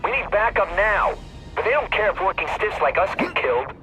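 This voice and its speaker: A man's voice: high voice, sing-song, fairly quick, bright, forceful tone, soft background music, full character voice, poor audio. A man playing a disgruntled cop in a video game, griping in an incredulous way. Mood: exasperated.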